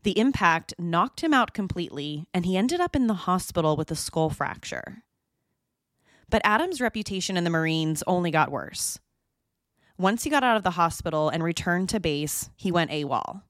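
A woman hosts her own true crime show and narrates each case from a script. Her voice sits a little low, and she keeps a measured, even storytelling pace. The sound is dry and close, with no room around it. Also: no room sound. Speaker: podcast host.

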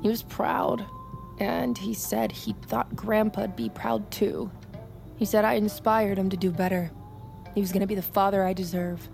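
A woman's voice: medium pitch, flat delivery, normal speed, vocal fry, darkish tone, faint music behind, lightly acted. An actress playing a stubborn, intense young female army private in a naturalistic audio drama. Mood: guarded, defiant. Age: young adult.

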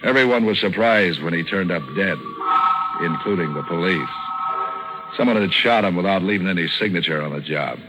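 A low, sardonic American private eye , acted by a man in old-time radio noir. He tosses off cynical wisecracks in a deadpan way. Music plays behind him on the vintage broadcast recording. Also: moderate pace, lightly acted, low-quality recording. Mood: dry, brooding. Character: wisecracking, cynical hard-boiled male hired detective narrating his case. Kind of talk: 1940s radio noir acting, first-person narration.

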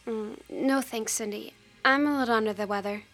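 High-voiced, serene pious killer. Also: female voice, moderate pace, faint music behind. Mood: calm, evasive. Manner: calm, sanctimonious. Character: angelic-sounding young woman, pious, coldly serene killer. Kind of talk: radio drama acting.

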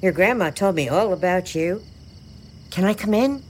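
Woman playing a lively, pushy folksy great-aunt. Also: mid-range voice, normal speed, slight background noise, natural voice. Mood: folksy, coaxing. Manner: chatty, insistent, theatrical. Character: folksy, pushy great-aunt, slyly over-friendly and intrusive. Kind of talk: audio drama acting.

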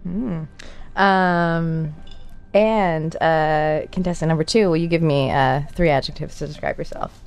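A woman asking dating-game contestants questions in a casual, hesitant way with many pauses. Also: deep voice, smooth, faint music behind. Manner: hesitant, lots of ums.

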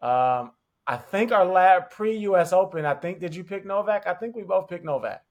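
A man hosting a tennis podcast, talking in a relaxed, conversational way. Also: high voice, moderate pace. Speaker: podcast host. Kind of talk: podcast interview.

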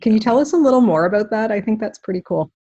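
A woman's voice: medium pitch, animated, fast talker, warm tone, husky, highs cut off. A woman hosting a podcast, chatting with a guest in a friendly, encouraging way. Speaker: podcast host, physiotherapist. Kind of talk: casual interview chat.

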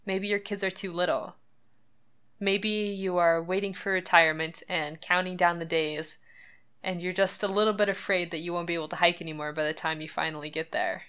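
A woman's voice: fairly low pitch, fairly even intonation, brisk, fairly bright tone, clear, resonant tone. A woman hosting a podcast, talking in an earnest, chatty way with light laughs. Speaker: podcast host. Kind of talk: scripted podcast monologue.

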